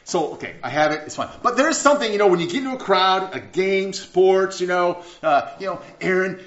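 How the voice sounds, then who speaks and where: Animated, chatty preacher, echoey church. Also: male voice, sits high, fairly quick. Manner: animated, chatty, with stumbles and restarts. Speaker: pastor. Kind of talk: sermon. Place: church sanctuary. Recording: echoey church room, slightly dull sound.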